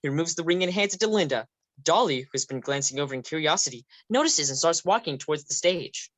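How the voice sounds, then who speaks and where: A woman's voice: low voice, animated, quick pace, no room sound. A woman reading stage directions aloud in a plain, matter-of-fact way.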